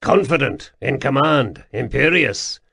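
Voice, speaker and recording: A man voicing a blunt male companion with a measured, reassuring manner. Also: medium pitch, lively intonation, creaky, bright, forceful tone, slightly rough, voice pushed up, full character voice.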